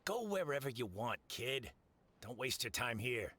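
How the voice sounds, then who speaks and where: An actor playing a gruff but kindly middle-aged merchant boss in a video game, blunt and fatherly. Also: medium pitch, sing-song, unhurried, bright, forceful tone, heavily acted.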